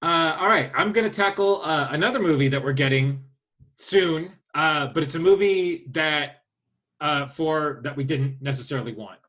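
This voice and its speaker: A man co-hosting a casual podcast, speaking in a halting, hesitant way. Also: a little high, a bit bright. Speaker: podcast co-host.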